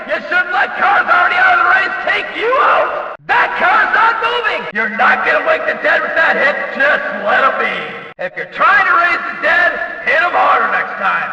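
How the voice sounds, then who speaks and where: An actor playing a rowdy, sarcastic race announcer in a video game, calling hits in excited, mocking, exclamatory sports commentary. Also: male voice, very high voice, moderate pace, hardly any pauses, heavy reverb, long reverb tail, distant mic, highs cut off, some chatter behind, heavily acted. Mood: exasperated, scolding.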